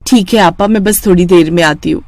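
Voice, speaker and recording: A woman's voice: lowish voice, brisk, dry recording, clean studio sound, close to an everyday voice. A woman voicing a meek, patient poor younger sister, deferential and obedient, in a storybook tale. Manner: deferential, patient, gentle wording.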